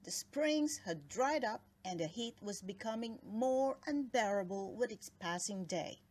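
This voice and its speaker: Slow-paced woman reading a children's storybook. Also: mid-range voice, lively intonation, darkish tone. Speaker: storybook reader. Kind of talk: expressive children's read-aloud narration.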